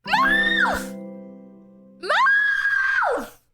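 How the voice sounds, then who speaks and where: A woman's voice: extremely high pitch, very slow, raised voice, music in the background. A young woman streaming live, chatting with her viewers in a bubbly, laughing way, full of "bro" and "okay". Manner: excitable, laughy, slangy with lots of "bro" and "okay".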